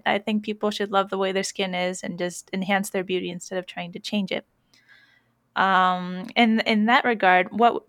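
A woman's voice: medium pitch, a bit bright, no room sound, studio quality. A woman hosting a skincare podcast, reading a scripted intro and then chatting with a guest in an upbeat, enthusiastic way. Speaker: podcast host and esthetician.

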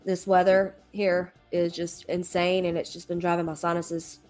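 A woman's voice: lowish voice, little pitch movement, normal speed, a little mellow. A woman from Alabama greets her online congregation, her voice a little nasal and congested from a cold. She talks in a loose, chatty way, stopping and restarting her sentences. Faint music plays in the background. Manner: chatty, with frequent ums and restarts. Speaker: ministry leader hosting a livestream. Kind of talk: casual livestream preaching.

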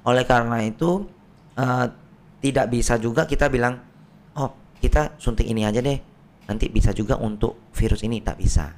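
A man, a doctor, explaining medical tests on a podcast in a patient, plain way with everyday analogies, his voice slightly hoarse. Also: medium pitch, moderate pace, long pauses, a little mellow. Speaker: doctor guest. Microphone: podcast microphone.

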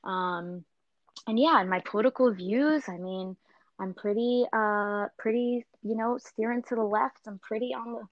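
A woman in her thirties from New York, co-hosting a podcast in a relaxed, chatty way with many fillers and pauses. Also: on the high side, dry recording, dull audio. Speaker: podcast co-host. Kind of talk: casual chat.

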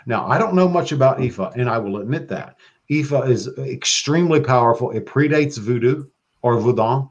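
A man's voice: medium pitch, expressive, normal speed, muffled recording. A man chatting as a podcast guest, flamboyant and profane, calling people baby and girl. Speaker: guest, occult practitioner. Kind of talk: casual podcast chat.

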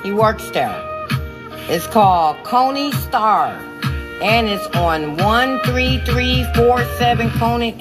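A woman with an American accent chatting informally and familiarly as a shopkeeper and podcast host. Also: fairly low pitch. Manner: informal, folksy, addresses people familiarly. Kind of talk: casual chat with customers and listeners. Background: music.

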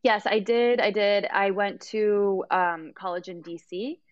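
A woman's voice: medium pitch, fairly even intonation, measured pace, no room sound, lo-fi sound. A woman in her thirties from Mexico, a guest telling her story earnestly, with many fillers and restarts. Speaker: guest, yoga teacher and life coach. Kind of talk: casual interview conversation.

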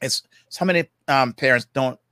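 A man's voice: mid-range voice, normal speed, sharp tone, dry recording, studio quality. An older man with a Jamaican accent, a guest therapist talking in a chatty, easygoing way. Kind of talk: casual interview talk.

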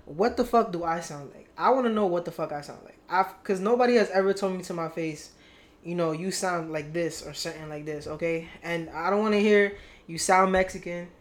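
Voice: medium pitch, moderate pace. A young adult vlogger telling a story to viewers in a candid, rambling way, full of slang and swearing.

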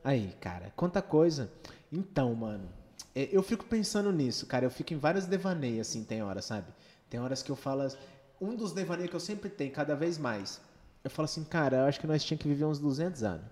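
Mellow Brazilian man in a reverberant room. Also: a little high, big pitch swings, fast talker. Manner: slangy, rhetorical, frequent check-in questions. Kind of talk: casual, opinionated podcast conversation.

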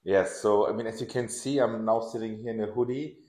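Low-voiced guy in his 40s, chatty, lots of restarts, roomy echo. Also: fairly quick. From Iran. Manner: relaxed, restarts often, laughs while telling stories. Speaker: podcast guest, lawyer. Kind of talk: casual interview.

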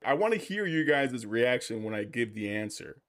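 Playful man with a lively, swinging voice. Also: mid-range voice, normal speed, dry recording, clean studio sound. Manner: playful, laughs easily, repeats himself when he argues. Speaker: podcast co-host, trivia segment. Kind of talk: casual sports chat.

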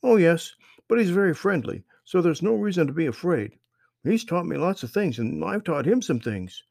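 A man's voice: a little high, animated, normal speed, creaky, a little mellow, no room sound, studio quality, voice pushed up. A man doing Marvin, a cheerful friendly boy. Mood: reassuring.

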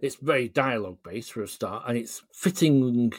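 A man's voice: medium pitch, expressive, normal speed, slightly rough, no room sound, clean studio sound. A man chatting as an interview guest, explaining things in a thoughtful, hesitant way. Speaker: podcast guest, writer. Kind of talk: casual interview chat.

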